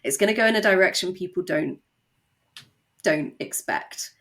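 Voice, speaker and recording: A woman with a lowish voice chats as a guest on an interview show. Her speech has a distinct gravelly creak. She tells stories in a conversational way, slipping in quoted asides. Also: normal speed, a bit bright. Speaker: guest, audio drama writer and co-creator.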